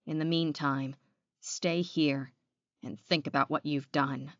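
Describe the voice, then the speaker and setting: A woman's voice: low-pitched, steady tone, slow pace, dry recording, dull audio. A woman voicing a stern, rejecting male werewolf mate in an audiobook. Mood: cold, stern.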